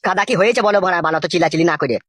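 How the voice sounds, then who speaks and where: A man acts out an indignant young village son in a comic cartoon. His voice is very high and races along, argumentative and crude. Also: racing, a bit bright, no echo, clean studio sound. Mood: irritated.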